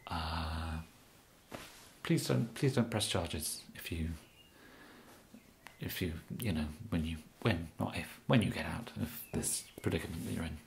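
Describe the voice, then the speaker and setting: A young man with an English accent plays a bumbling, apologetic kidnapper in an ASMR roleplay. His voice is low and he talks very slowly, mumbling and hesitating. There is some background noise. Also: creaky. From England. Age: young adult. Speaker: ASMR performer. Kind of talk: ASMR comedy roleplay monologue, soft-spoken.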